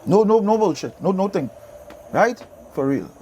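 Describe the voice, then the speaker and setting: A man's voice: sits high, animated, moderate pace, warm tone, slight background noise. A man with a Trinidadian accent chatting casually as an interview guest, emphatic and repeating phrases to drive his points home. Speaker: guest, radio DJ and soca artist.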